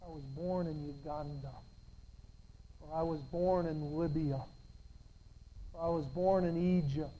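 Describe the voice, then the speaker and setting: A woman preaching a sermon, quoting scripture with emphatic, dramatic pauses and swings from hushed to loud. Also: on the low side, slight background noise, low-quality recording. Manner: repeats phrases for emphasis.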